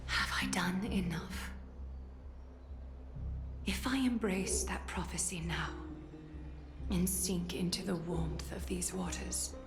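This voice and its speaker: A woman playing a dignified, refined female demigod in a video game, speaking in a formal, measured way. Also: fairly low pitch. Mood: solemn. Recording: echoing voice with music behind.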